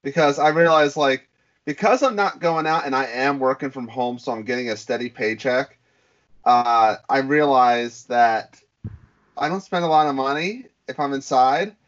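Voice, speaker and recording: A man co-hosting a casual podcast, relaxed and wry, with lots of fillers. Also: medium pitch, moderate pace. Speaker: podcast co-host. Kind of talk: casual banter. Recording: dull lo-fi sound.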